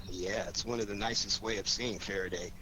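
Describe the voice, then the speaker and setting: A man's voice: fairly low pitch, fairly even intonation, normal speed, lightly acted. An American man playing an easygoing, wisecracking amateur sleuth with flippant, teasing banter in a 1940s radio drama. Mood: wry, relaxed. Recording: noisy vintage 1940s radio recording.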